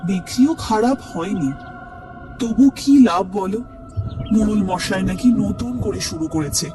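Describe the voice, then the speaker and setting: A woman's voice: mid-range voice, animated, a little slow, natural voice. A woman playing a fearful village woman in colloquial, emphatic cartoon dialogue. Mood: grumbling. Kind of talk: animated story dialogue. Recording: music behind the voice.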